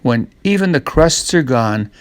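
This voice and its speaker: A man narrating a scripted children's story, reading aloud in a measured, expressive way. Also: medium pitch, no room sound.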